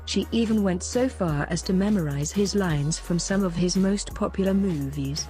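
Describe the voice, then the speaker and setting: A woman's voice: lowish voice, moderate pace, mellow, faint music behind. A woman reading a scripted feel-good human-interest story as a narrator.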